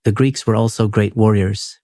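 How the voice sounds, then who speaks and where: A man hosting a sleep podcast, reading a script in a steady, unhurried, soothing way. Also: fairly low pitch, dry recording, clean studio sound.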